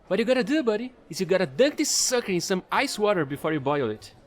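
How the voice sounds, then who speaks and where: A man playing a chatty, excitable fallen alien angel who trades in space, gushing and rambling in an audio roleplay. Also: high voice, quick pace. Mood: eager, friendly. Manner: bubbly, gushing, rambling, apologetic.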